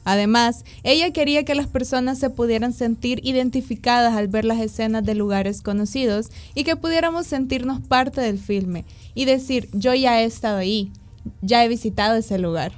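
A woman's voice: on the high side, normal speed. Upbeat Salvadoran woman co-hosting radio. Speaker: radio co-host. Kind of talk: scripted radio segment.

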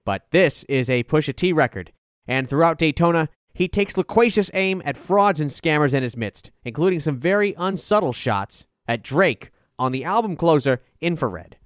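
A man's voice: fairly high pitch, lively intonation, moderate pace, no echo, clean studio sound. A man reading a scripted review as narration, in an articulate, formal delivery. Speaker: album review reader.

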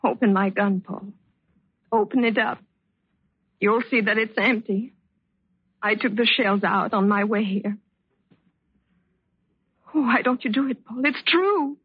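High, breathy, desperate radio heroine. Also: female voice, no room sound, heavily acted. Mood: pleading. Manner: breathy, melodramatic, imploring. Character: desperate, guilt-ridden woman pleading for her life. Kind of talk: old-time radio suspense acting. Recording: muffled old radio drama recording.

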